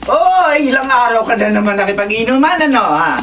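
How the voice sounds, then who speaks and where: An actress playing a domineering, stingy older sister and mistress of the house in a Tagalog radio drama, scolding and sarcastic with a Filipino accent. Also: female voice, fairly high pitch, expressive, brisk, dull audio, background noise, lightly acted. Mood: furious.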